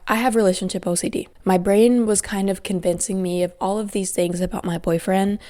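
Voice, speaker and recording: A woman's voice: mid-range voice, steady tone, fairly quick, mellow. A young woman hosting her podcast alone, talking in an earnest, grateful, reflective way while stuffy and congested from a cold. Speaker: podcast host. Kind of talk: casual solo podcast talk.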